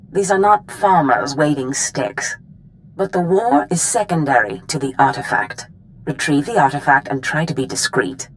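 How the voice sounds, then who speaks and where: A woman playing a commanding, scheming Sith master in a video game cutscene, speaking with deliberate authority. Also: low voice, a little hiss or hum, poor audio. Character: commanding, scheming female Sith master briefing her apprentice.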